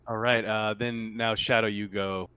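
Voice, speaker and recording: A man's voice: lowish voice, level tone, measured pace, pushed and bright, highs cut off, a little hiss or hum. A man acting as game master, casually running and narrating a tabletop roleplaying game.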